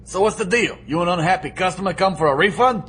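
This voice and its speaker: An actor playing a brash, defiant gangster bodyguard with a strained rasp in an audiobook drama. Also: male voice, high-pitched, quick pace, noisy background, lightly acted. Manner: brash, combative. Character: hot-headed male gangster bodyguard, defiant and foul-mouthed.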